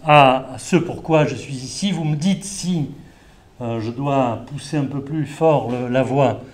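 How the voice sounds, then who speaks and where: A middle-aged man from southern France addresses a live audience at a mid-pitched, ordinary register. His voice is slightly muffled by a face mask, and he pauses often, filling gaps with hesitant sounds and restarts as he lectures. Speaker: invited speaker, environmental law expert. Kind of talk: conference talk to a live audience. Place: indoor venue with an audience.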